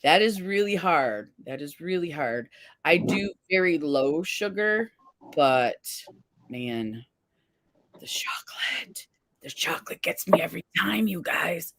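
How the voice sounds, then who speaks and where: A woman's voice: fairly low pitch, animated, slow, a bit bright. A woman co-hosting a casual livestream, chatting and reading viewer comments aloud, laughing easily. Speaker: livestream co-host.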